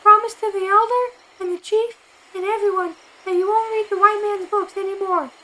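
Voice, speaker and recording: Woman acting very high-voiced reproachful big sister. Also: fairly even intonation, moderate pace, pushed and bright, low-quality recording. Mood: insistent. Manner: earnest scolding. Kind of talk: amateur anime fan dub.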